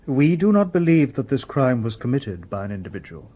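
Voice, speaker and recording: An actor playing a calm, courteous humanoid robot detective in a radio drama, with formal, precise phrasing. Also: male voice, mid-range voice, brisk.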